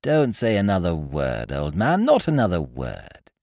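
A man voicing an amiable, dim young gentleman in an audiobook, bleating and chummy, full of old-fashioned British slang. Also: low-pitched, wildly swooping pitch, unhurried, no echo, clean studio sound, full character voice. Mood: forgiving, soothing. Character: amiable, dim upper-class young gentleman, easily pleased.

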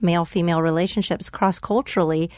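A woman hosting a podcast in a studio, chatting casually in a bubbly, blunt and crude way, quick to laugh. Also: lowish voice, fairly even intonation, a little mellow, dry recording. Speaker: podcast host. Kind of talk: casual chat. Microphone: studio microphone.

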